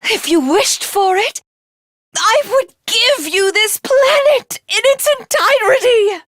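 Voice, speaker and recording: An imperious alien warlord woman who believes herself divine. Her speech is slow, formal and theatrical, with highly expressive pitch movement. It is a video game character voice in a dry, clean recording. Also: dry recording, exaggerated voice. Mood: desperate, impassioned. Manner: haughty, formal, theatrical, deliberate. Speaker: voice actress.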